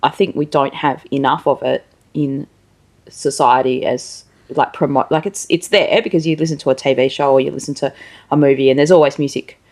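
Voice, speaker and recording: A woman's voice: a bass voice, steady tone, fairly quick, a little mellow. A woman hosting a conversational interview, speaking informally and thinking aloud as she goes. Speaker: interviewer, show host.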